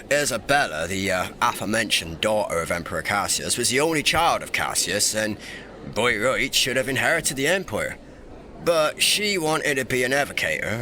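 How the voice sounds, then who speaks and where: A man's voice: medium pitch, pushed and bright, a little hiss or hum. A man playing a young, mischievous and irreverent emperor in a fantasy audio drama, with a theatrical, teasing, breezy delivery. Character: young playful irreverent male emperor, secretly informal and mischievous.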